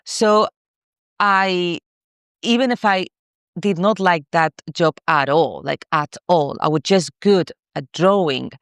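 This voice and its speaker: A woman's voice: on the low side, slow pace, fairly bright tone, smooth, no echo, studio quality. A woman in her thirties from Morocco, a coach candidly telling her own story in a masterclass, repeating herself with many fillers. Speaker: spiritual coach.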